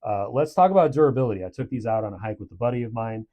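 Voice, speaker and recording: A man's voice: mid-range voice, racing, warm tone. A man giving a casual product review in a conversational, approving way.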